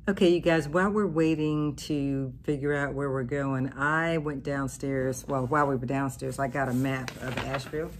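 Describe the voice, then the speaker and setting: A woman's voice: very deep voice, noisy background. Chatty woman vlogging on her phone. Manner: chatty, laughing, loose with fillers. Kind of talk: casual vlog chat. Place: parked car and hotel room. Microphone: phone held at arm's length.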